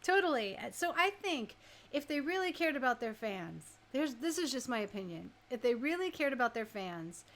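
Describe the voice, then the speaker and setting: A woman radio host chatting casually and informally, thinking out loud as she shares her opinions. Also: high-pitched, big pitch swings, normal speed.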